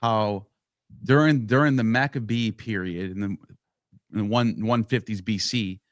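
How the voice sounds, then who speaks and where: Halting, restarting man in podcast chat. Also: on the low side, dry recording, studio quality. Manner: talks in bursts with many restarts. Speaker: podcast co-host or guest. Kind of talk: casual podcast discussion.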